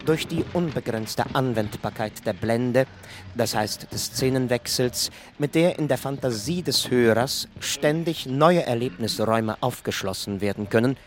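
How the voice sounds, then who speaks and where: A man narrates a scripted radio piece like a lecture. His voice is mid-pitched, with faint noise behind it. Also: measured pace.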